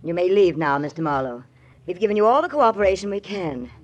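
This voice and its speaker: Indignant rich lady, old radio acting, imperious, formal, expressive pitch. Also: fairly quick, lo-fi sound. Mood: indignant, commanding. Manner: formal, clipped, commanding. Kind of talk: 1950s radio drama acting.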